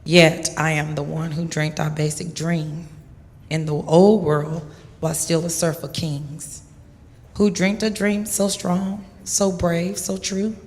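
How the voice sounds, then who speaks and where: A woman giving a dramatic poetry reading with emphatic, deliberate phrasing. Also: low voice. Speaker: poetry reader. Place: large church hall. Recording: echoey hall.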